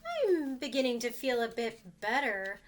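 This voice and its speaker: A woman's voice: a little high, sing-song, measured pace, a little hiss or hum. Woman voicing a sick, kindly zookeeper man. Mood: gentle.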